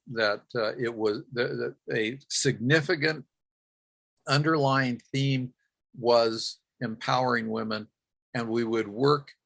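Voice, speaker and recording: Gravelly-voiced man presenting a workshop. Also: mid-range voice, a bit bright. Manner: frequent "uh" fillers and self-corrections. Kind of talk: recounting a field project and answering questions.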